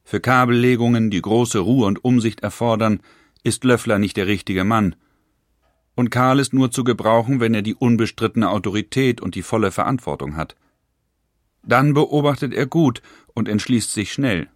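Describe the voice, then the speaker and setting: An actor reading letters as a stern elder brother who heads a family firm, in a measured, grave and formal manner. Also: male voice, fairly low pitch, dry recording, studio quality, close to an everyday voice. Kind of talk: reading a historical letter aloud.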